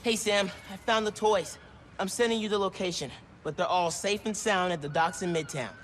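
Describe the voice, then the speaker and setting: A man's voice: high-pitched, moderate pace, slight background noise. An actor playing an upbeat, friendly teenage boy superhero in a video game, with casual, earnest, joking delivery. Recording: loud music behind the voice.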